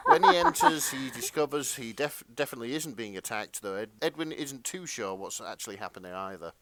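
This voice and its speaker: A man's voice: mid-range voice, huge pitch swings, normal speed, a bit bright. A man co-hosting a podcast in casual chat, feeling his way through sentences with hesitant phrasing. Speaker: podcast co-host.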